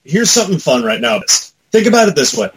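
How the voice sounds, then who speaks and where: Animated man with a lively, higher-pitched voice. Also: quick pace, a little hiss or hum. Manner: animated, rambling, mildly profane fan commentary. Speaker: podcast co-host. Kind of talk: casual pop-culture chat.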